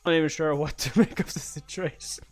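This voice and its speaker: A man's voice: on the high side, fairly even intonation, fast talker, soft background music. A man hosting a live read-along, playful and improvising as he reacts to the story. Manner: playful, breaks into laughter, improvises. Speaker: reaction video host.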